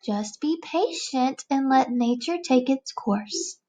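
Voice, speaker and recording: A woman's voice: high-pitched, animated, slow, mellow. A woman voicing a weary, annoyed fellow caterpillar in a storybook, curt and instructive, stretching words for emphasis.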